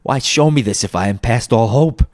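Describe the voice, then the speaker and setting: A man's voice: fairly low pitch, a little slow, vocal fry, a little mellow. A man voicing a repentant old miser in a storybook, fervent and exclamatory, with breathless, effusive delivery. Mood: desperate pleading. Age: older.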